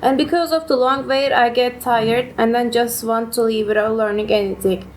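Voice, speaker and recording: A woman's voice: fairly high pitch, fairly even intonation, normal speed, a little hiss or hum. A teenage girl from Turkey giving her opinion in a student podcast, hesitant with frequent ums. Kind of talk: podcast discussion.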